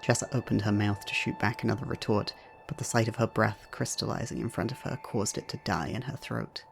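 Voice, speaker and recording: A man delivers scripted dramatic narration for an audio drama. His voice sits low, with heavy vocal fry. There is clear noisy ambience behind him. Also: fairly even intonation, a little fast.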